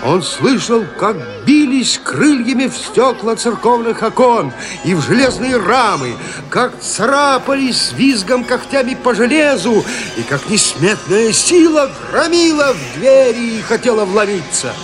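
A man's voice: extremely high pitch, very animated, measured pace, full character voice. A man narrating a gothic horror story in an audio drama with theatrical suspense. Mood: suspenseful. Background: music.

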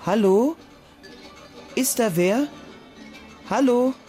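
A woman's voice: a little high, animated, drawn-out words, loud music behind the voice. A woman voicing a frightened young boy in a radio play, scared and pleading. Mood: wary.

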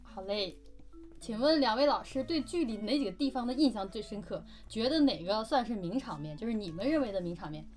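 A woman hosts a casual chat, questioning her guests at a brisk pace. Her voice is on the high side and lively, with faint music behind it. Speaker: interview host.

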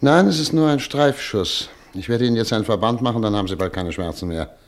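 An actor playing a calm, measured doctor in a radio play. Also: male voice, fairly low pitch, lightly acted.

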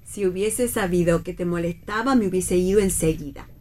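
A woman's voice: fairly low pitch, moderate pace, a little mellow, close to an everyday voice. An Argentine woman playing a fretful, guilt-tripping, superstitious mother in a radio play reading. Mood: reproachful, fretful. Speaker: actress in a read-theatre radio play.

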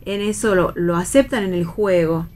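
An Argentine woman talking casually and a little haltingly in a literary discussion, often checking with short tag questions. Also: lowish voice, darkish tone. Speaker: panelist on a radio theatre discussion show. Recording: clear background ambient noise.

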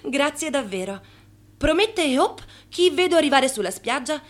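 An actress playing a coy, teasing young secretary of twenty-one in an Italian radio drama, playfully protesting. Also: female voice, sits high, sing-song, normal speed. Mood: amused, coy.

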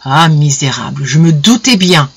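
Narrator acting a jealous, raging husband, husky voice. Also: medium pitch, animated, moderate pace. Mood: hateful, accusing. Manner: hectoring, stammering, insistent repetition. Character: jealous, enraged husband, accusing and domineering.

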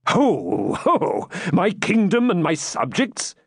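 A man's voice: medium pitch, big pitch swings, normal speed, no room sound, clean studio sound, voice pushed up. A man voicing a proud, hearty warrior king in a dramatic storybook reading, with grand, commanding, archaic speech. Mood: impatient.

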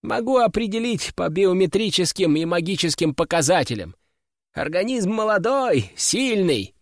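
Man reading a businesslike healer, clinical, kinda higher voice, dry close sound. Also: big pitch swings, moderate pace, no room sound. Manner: matter-of-fact, clinical. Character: brisk, businesslike male healer.

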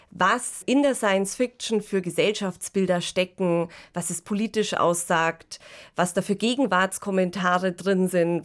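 Woman co-hosting a conversational podcast. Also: medium pitch. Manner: engaged, reflective, frequent tag questions. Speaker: podcast co-host; political scientist and science fiction analyst.

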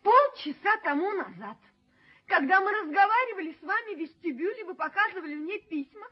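An actress playing a proud, easily wounded young fiancée in a radio play, speaking with theatrical, imperious emotion. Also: female voice, very high voice, lively intonation, a little fast. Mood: hurt, accusing. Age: young adult. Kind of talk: Soviet radio play acting. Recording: dull, muffled 1970s radio recording.

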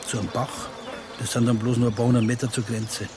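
A man playing a gruff Bavarian forester in a naturalistic radio play. He grumbles bluntly in a medium-pitched voice, easily indignant, while insects buzz clearly around him. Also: level tone, quick pace, background noise, close to an everyday voice. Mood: gruff, impatient. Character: grumpy, indignant male forester with a dog. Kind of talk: naturalistic radio drama acting.

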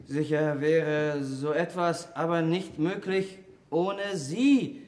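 An actor in a German radio play voicing an eager, respectful visitor to an old scholar. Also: male voice, a little high, slow, a little mellow. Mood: cautious, probing. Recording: echoey, noisy old radio play recording.

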